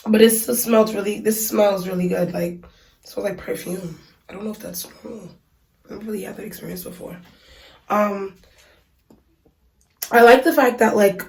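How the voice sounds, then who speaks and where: A woman's voice: medium pitch, gravelly, a little mellow. Woman vlogger with a cold, stuffy and chatty, in a small bathroom, a bit off-mic with room echo. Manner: chatty, many ums and likes. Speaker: beauty vlogger reviewing hair. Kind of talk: casual unboxing review. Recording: echoey room, mic at a distance.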